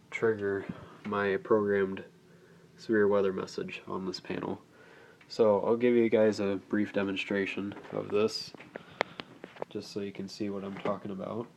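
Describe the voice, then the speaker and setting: A man with a lowish, gravelly voice walks viewers through a hands-on demonstration in a casual, unscripted way. His intonation stays fairly even, with frequent creak. There is faint noise behind him in a home recording. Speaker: hobbyist video host demonstrating alarm wiring. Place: indoors at home.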